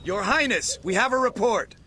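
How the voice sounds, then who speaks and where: A male actor playing a dutiful, eager military officer in a video game, reporting in a formal, crisp manner. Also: high voice, lively intonation, normal speed, sharp tone, slight background noise, full character voice. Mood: dutiful, urgent.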